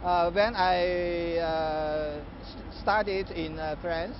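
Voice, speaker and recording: A middle-aged man answers questions slowly, stopping often to search for words. He speaks outdoors on a city street, with a slightly dull tone and faint traffic behind him. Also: high voice, fairly even intonation. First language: Chinese. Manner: halting, many filler pauses. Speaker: interviewee, political candidate. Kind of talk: remote news interview answers.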